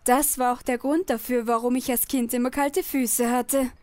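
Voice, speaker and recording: A woman plays a dutiful young niece in a comic audio drama. Her voice is high and recorded dry, and she speaks with soothing patience. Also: fairly even intonation, normal speed, a little mellow, dry recording, close to an everyday voice. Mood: soothing, patient. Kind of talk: parody audio drama acting.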